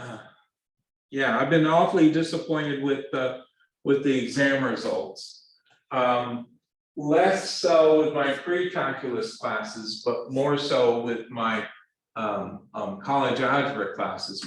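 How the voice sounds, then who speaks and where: A man's voice: medium pitch, fairly bright tone. Echoey earnest man teaching math. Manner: halting, repeats words, many ums; earnest, pleading. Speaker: math instructor. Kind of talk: classroom lecture. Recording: very echoey classroom, distant mic.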